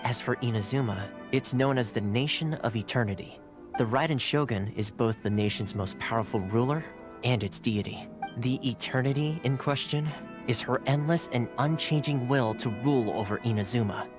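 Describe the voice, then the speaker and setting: A man's voice: mid-range voice, normal speed, close to an everyday voice. Game character: courteous young male retainer, played by a man, formal and articulate, composed with a playful streak, music underneath. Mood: solemn, explanatory. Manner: polite, formal, articulate phrasing. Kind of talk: scripted game cutscene dialogue. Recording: music behind the dialogue.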